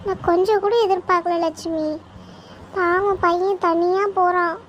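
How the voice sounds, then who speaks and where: A woman's voice: extremely high pitch, little pitch movement, a little slow, a little hiss or hum. A woman playing a village aunt who coaxes and chides a crying mother, pleading with her to stop. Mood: coaxing, chiding. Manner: coaxing, chiding, pleading.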